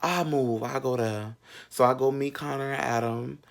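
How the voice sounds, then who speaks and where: A man's voice: medium pitch, fairly even intonation, normal speed, gravelly. A man in his thirties telling a personal story in a casual, sassy, slangy and candid way, with frequent asides. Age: 30s. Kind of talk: casual, animated storytime chat.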